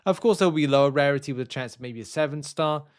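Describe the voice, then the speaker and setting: A man's voice: fairly high pitch, fast. A man hosting a gaming news video, reading out announcements and musing on them in a casual, hesitant, rambling way. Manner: hesitant, rambling, thinking aloud.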